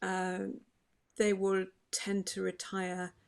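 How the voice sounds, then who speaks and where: Woman vocal expert answering questions, bit of vocal fry, chatty. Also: mid-range voice, completely flat delivery, slow pace. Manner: chatty, explanatory, warm advice-giving. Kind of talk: online forum Q&A.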